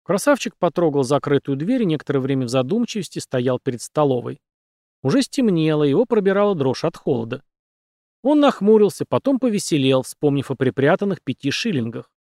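A man reading an audiobook, narrating the story in an even, steady storytelling manner. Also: on the high side, brisk, no room sound, studio quality. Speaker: audiobook reader.

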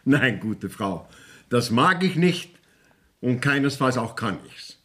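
An actor playing a stern, dignified court official in a radio play, speaking in a formal, declamatory manner. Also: male voice, medium pitch, animated, takes it slowly, fairly bright tone. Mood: grave, questioning. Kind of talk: 1970s radio drama acting.

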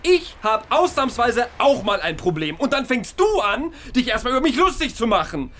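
A man's voice: very high voice, big pitch swings, normal speed, bright, forceful tone, heavily acted. An actor playing an irritable, self-pitying theater actor in his thirties, speaking colloquial dialogue in a naturalistic, defensive, agitated way. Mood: angry, sarcastic. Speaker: actor in an audio drama. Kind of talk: naturalistic audio drama dialogue.

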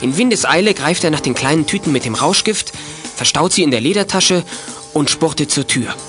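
A man's voice: fairly high pitch, expressive, brisk, over music, close to an everyday voice. A man narrating a children's detective radio play with scripted, dramatic storytelling.

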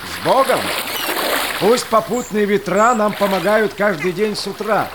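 An actor playing a grave, honourable Cossack expedition leader, delivering rhymed audio drama verse in a measured way. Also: male voice, high-pitched, sing-song, very noisy. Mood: commanding.

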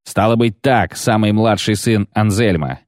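A man voicing a coarse, defiant dwarf commander in an audiobook, barking hoarsely in a growl. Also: on the low side, unhurried, darkish tone, no room sound, clean studio sound, natural voice. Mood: gruff, defiant. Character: gruff, cursing dwarf commander in battle. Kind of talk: audiobook character acting.

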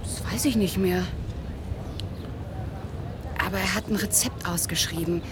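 An actress playing a detective's wary companion in a crime radio play, speaking briefly and plainly. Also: female voice, fairly low pitch, measured pace, noisy background, close to an everyday voice. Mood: subdued, recalling.

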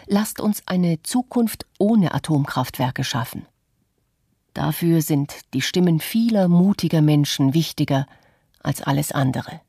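A woman reading the part of an earnest, resolute citizen in a scripted radio documentary, with a husky voice. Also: sits low, lively intonation, unhurried, dark tone, heavily acted. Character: earnest, resolute citizen critical of nuclear power. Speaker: reader of a witness statement.

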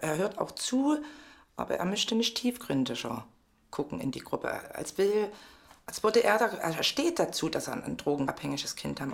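A middle-aged woman giving a frank, colloquial and somewhat halting personal account. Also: medium pitch, creaky.

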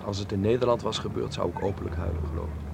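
Low, creaky-voiced earnest young man. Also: fast, background noise. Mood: moved. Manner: courteous, reflective, sincere. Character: earnest, kindly young Dutch filmmaker living in 1930s Berlin. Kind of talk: radio drama acting with letter narration.

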